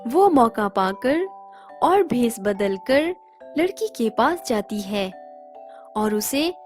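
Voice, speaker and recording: A woman's voice: a little high, very animated, takes it slowly, over music. A woman narrating a scripted story for children.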